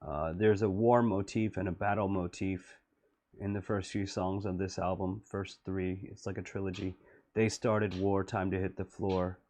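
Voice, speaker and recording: A middle-aged man talking through his own music video, slow and wistful. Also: level tone, a little mellow. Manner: low-voiced, slow and wistful. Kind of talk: reflective commentary.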